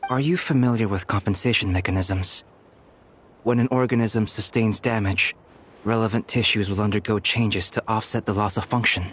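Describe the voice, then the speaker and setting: An actor playing a composed, clinical scholar in a video game, lecturing in a formal, methodical way. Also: male voice, fairly low pitch, steady tone, normal speed, fairly bright tone, a little hiss or hum, natural voice. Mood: calm, didactic.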